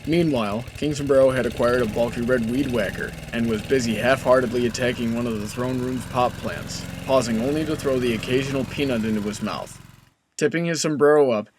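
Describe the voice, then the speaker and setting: A man's voice: medium pitch, steady tone, brisk, slight background noise. A man reading scripted story narration as the narrator.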